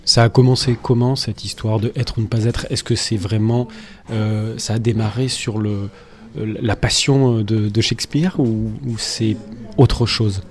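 A man's voice: fairly low pitch, fairly even intonation, measured pace. A man interviewing a guest on radio, asking questions with frequent hesitations. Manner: hesitant questions, many fillers.

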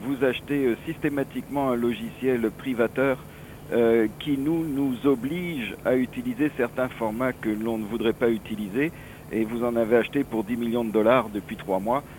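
Frenchman explaining haltingly over a noisy phone. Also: mid-range voice, darkish tone. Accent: French from France. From France. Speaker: association president, interview guest. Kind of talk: telephone interview. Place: office, on the phone. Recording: noisy telephone line.

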